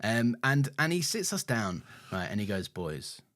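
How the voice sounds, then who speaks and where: A man's voice: mid-range voice, unhurried, no echo. A man on a podcast, telling stories in a loose chatty way and laughing often. His voice sits mid-range, recorded close and dry with no room sound. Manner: animated storyteller, laughs often, puts on quoted voices. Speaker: podcast co-host. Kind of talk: casual chat.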